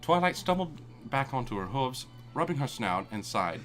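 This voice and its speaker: Casting: male comedic story reader, mid pitch, animated intonation, irreverent, loose live feel, music underneath. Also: normal speed. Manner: irreverent, breaks into swearing and amused asides. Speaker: reader of fan fiction.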